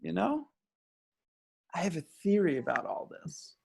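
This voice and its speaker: Man playing sardonic stoner, high and creaky. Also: big pitch swings, unhurried, exaggerated voice. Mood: dry. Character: sullen, sardonic young male college stoner. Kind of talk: amateur screenplay table read.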